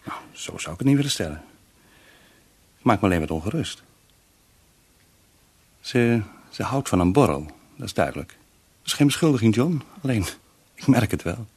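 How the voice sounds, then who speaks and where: Low husky worried boss. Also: male voice, sing-song, normal speed, pauses often, a little mellow, exaggerated voice. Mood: concerned, tactful. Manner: hesitant, tactful. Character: Wim, worried, tactful male employer, careful and apologetic. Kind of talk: radio play acting.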